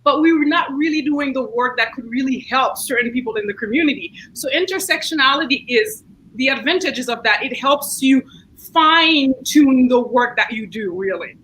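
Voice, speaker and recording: A woman's voice: sits high, normal speed, fairly bright tone, a little hiss or hum, low-quality recording. A woman with a Haitian accent, a city council member speaking earnestly and thoughtfully in an online book discussion, often restarting her sentences. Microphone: video call.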